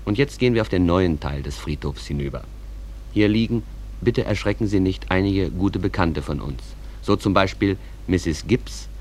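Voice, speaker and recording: An actor playing a calm, folksy stage manager who narrates a radio play, addressing listeners in a measured, conversational way. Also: male voice, sits low, a little hiss or hum, natural voice.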